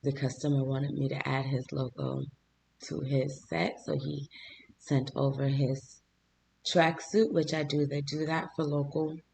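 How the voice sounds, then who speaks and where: Chatty, hoarse man hosting a craft vlog, gravelly edge, talking straight to viewers over a faint hiss. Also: on the high side, level tone, a little slow, darkish tone. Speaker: small business owner and channel host.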